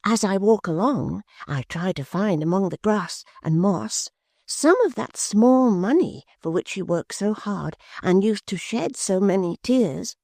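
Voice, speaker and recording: A woman's voice: mid-range voice, expressive, measured pace, creaky, a little mellow, dry recording, studio quality, pitched above the performer's own voice. A woman voicing an eager, simple-minded young man who talks in wondering, pleading bursts. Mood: dreamy pleading.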